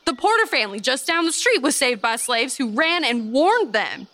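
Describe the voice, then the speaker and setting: A woman playing an earnest, devout 1830s Virginia gentlewoman, giving formal, measured testimony in a historical drama. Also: high voice, big pitch swings, exaggerated voice. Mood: firm, defiant. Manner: formal, measured storytelling, firm.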